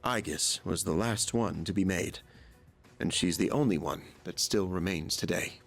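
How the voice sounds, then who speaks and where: A man's voice: low voice, normal speed, creaky, faint music behind. An actor playing a polite, genial chairman who explains things in a video game. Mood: explaining. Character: polite adult male chairman of a secret research group.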